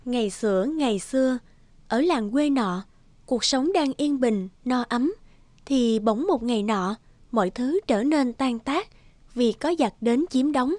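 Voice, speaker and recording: High-pitched woman narrating a folktale. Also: lively intonation, a little slow, darkish tone, no echo. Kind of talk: scripted storybook narration.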